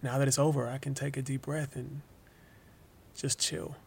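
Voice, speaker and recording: An actor playing a weary but forgiving wrongfully imprisoned young man in an interview-style drama monologue, halting and informal, repeating words. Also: medium pitch, little pitch movement, creaky, darkish tone, husky. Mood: grateful, earnest.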